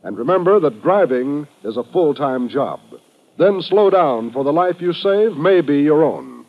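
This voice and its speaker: A man's voice: on the high side, lively intonation, measured pace, slight background noise. A man with an American accent reading a scripted announcement as a 1950s radio announcer. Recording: muffled old radio recording.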